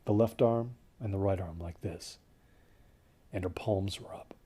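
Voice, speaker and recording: An actor playing a dazed, delusional injured man recounting an ordeal in an audio horror drama, halting and detached, with hushed phrases. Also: low voice, husky. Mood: stunned, halting. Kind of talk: first-person audio horror drama monologue.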